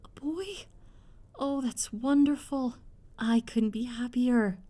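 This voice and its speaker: A woman's voice: a little high, slow, dark tone. A woman playing an overjoyed, doting mother of a grown son in an audio roleplay, gushing and affectionate. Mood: overjoyed, tender.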